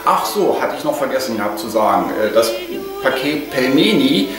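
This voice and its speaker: A man's voice: medium pitch, very animated, moderate pace. Chatty older man in an echoey kitchen. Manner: chatty, many hesitations. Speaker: vlogger. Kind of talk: casual cooking vlog. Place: home kitchen, indoors. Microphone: lapel mic. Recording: echoey kitchen, mic at a distance, music behind.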